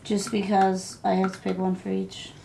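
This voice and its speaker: A woman with a gravelly fry in her voice chats casually as a podcast co-host. Her remarks come across dry and wry. Some noise sits behind her on the recording. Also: medium pitch, completely flat delivery, moderate pace, warm tone, poor audio. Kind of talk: casual chat.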